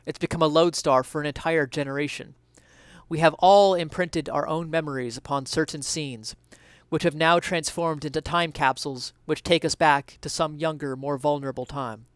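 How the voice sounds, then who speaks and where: A man with a slightly high, clean and resonant voice performs an essay as a dramatic reading. His phrasing is earnest, deliberate and theatrical. The recording is close and dry. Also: fairly even intonation, clean tone, dry recording. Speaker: reader of an essay.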